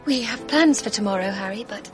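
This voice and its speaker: An actress playing a gentle, anxious young Englishwoman recounting her ordeal in a radio drama, in a soft, breathy voice. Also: mid-range voice, brisk, music in the background, close to an everyday voice, poor audio. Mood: wistful. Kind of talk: radio drama acting and narration.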